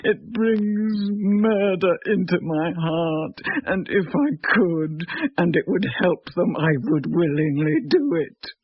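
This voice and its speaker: An actress playing a despairing young widow in a radio drama, plaintive and halting. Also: female voice, lowish voice, lively intonation, breathy edge, no room sound, exaggerated voice. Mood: desperate, bitter. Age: young adult. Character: possessed woman voicing a starving, despairing young English widow. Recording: dull, lo-fi old radio recording.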